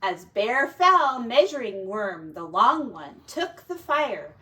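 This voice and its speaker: A woman reads a children's folk tale aloud with a fairly high voice. Her delivery is unhurried and dramatic, with big swings in pitch. She sounds like a teacher reading to young listeners. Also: sing-song, rough recording. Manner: dramatic, deliberate, with quiet asides to young listeners.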